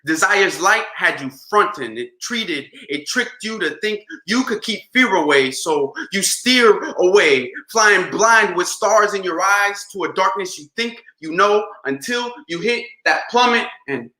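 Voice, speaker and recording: Male slam poet, high and very bright, rhythmic and dramatic, echoey room. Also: lively intonation, a little slow, hardly any pauses. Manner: rhythmic, dramatic delivery with shifting pace. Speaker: spoken word poet. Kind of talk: slam poetry performance.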